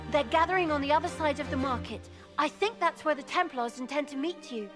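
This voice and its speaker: A woman's voice: sits high, a little fast, close to an everyday voice. An actress playing a deferential young female scout in a fantasy game, giving a respectful report. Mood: dutiful, uneasy. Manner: respectful, informative. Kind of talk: fantasy game cutscene dialogue. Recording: music playing behind.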